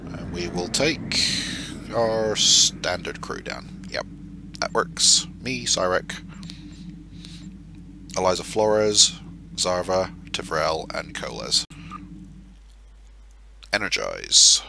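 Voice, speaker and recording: A man's voice: deep voice, big pitch swings, very slow, creaky, long pauses, pushed and bright, a little hiss or hum. A man with a stuffy, snuffly voice from a cold, giving rambling, self-correcting commentary as he plays a game. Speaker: let's play gamer. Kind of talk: casual gameplay commentary.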